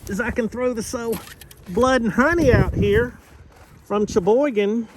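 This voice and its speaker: A man's voice: very high voice, unhurried. Casual American man with noisy background. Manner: folksy, informal, thinking aloud. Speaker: vlog host. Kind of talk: casual rambling garage vlog. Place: home garage workshop.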